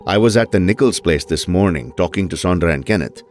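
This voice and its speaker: A man playing a calm, fatherly doctor in an audio drama, speaking politely and with careful concern. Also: deep voice, a little fast, faint music behind.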